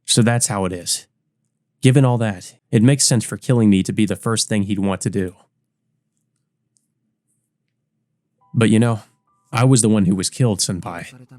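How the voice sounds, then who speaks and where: A man voicing an earnest, resolute teenage boy from a visual novel, measured and determined. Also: on the low side, a little mellow, dry recording, lightly acted. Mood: brooding. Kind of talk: visual novel character reading.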